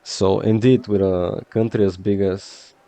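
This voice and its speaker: Slow, hesitant Bulgarian-accented man. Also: lowish voice, steady tone, warm tone, no room sound. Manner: hesitant and rambling, full of fillers. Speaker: game streamer. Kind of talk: casual chat while streaming a game.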